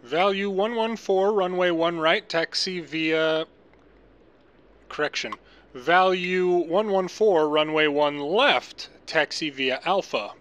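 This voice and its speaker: A man with a slightly high voice plays tower controller in a simulation game. He moves between clipped, procedural radio phraseology and casual commentary to viewers. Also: takes it slowly, fairly bright tone, clean tone. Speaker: gaming channel host playing an air traffic controller.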